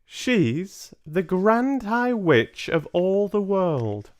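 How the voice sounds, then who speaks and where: A man's voice: high-pitched, big pitch swings, extremely slow, voice pushed up, full character voice. A man voicing a composed, mischievous old grandmother in a storybook, speaking in a knowing, pointed way. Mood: sly, assured. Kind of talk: storybook character reading.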